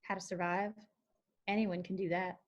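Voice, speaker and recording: A woman's voice: on the low side, flat delivery, moderate pace, dull audio, natural voice. A woman playing a young, plainspoken, probing country girl with an old soul in a screenplay table read. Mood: thoughtful. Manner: plainspoken, folksy, persistent.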